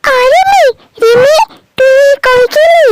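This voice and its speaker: Woman doing a tearful little cartoon girl. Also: takes it slowly. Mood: distressed. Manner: whiny, sobbing, high-pitched pleading. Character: young girl, tearful and easily upset. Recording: faint background music.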